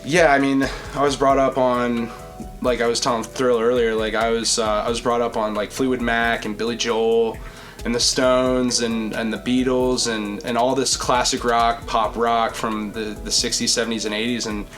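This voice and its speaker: A young man with a deep, projecting voice, chatting casually as a podcast host, rambling and swearing freely. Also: level tone, moderate pace, fairly bright tone, faint music behind. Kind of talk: casual chat.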